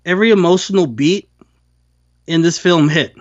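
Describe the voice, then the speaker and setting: Higher-pitched man in dry, dull audio. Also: normal speed, dry recording. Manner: acts out imagined quotes while talking. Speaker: podcast co-host reviewing films. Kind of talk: casual opinionated review chat.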